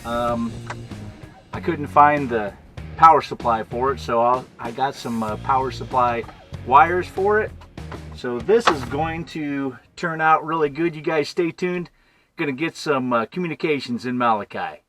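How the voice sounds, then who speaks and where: A middle-aged American man narrating a hands-on vlog in a casual, unhurried way, thinking aloud as he goes. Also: medium pitch, a bit bright, faint music behind. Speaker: vlogger showing a vehicle radio installation.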